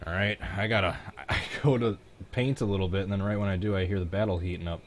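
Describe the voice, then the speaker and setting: A man's voice: sits low, fast, slight background noise. A man with a Midwestern American accent, chatting casually and reacting live to a race as a stream host.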